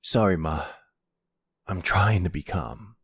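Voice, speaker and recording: A man playing a protective, bitter adult son who is gruff but loving, in a roleplay audio drama. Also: deep voice, takes it slowly, a bit bright, dry recording, full character voice. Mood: protective, firm.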